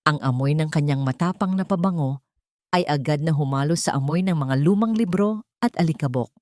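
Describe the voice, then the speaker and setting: Female narrator, deep register, dry close recording, suspenseful dramatic storytelling. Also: a little mellow, no room sound.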